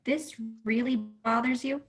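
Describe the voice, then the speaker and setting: Woman acting blunt, higher-voiced lady vet. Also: steady tone, unhurried, lightly acted. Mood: blunt, opinionated. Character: blunt, opinionated small-town female veterinarian. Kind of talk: comedic radio play acting.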